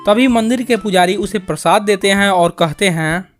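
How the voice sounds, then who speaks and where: A woman's voice: mid-range voice, moderate pace, soft background music. A woman reading scripted narration for a cartoon story.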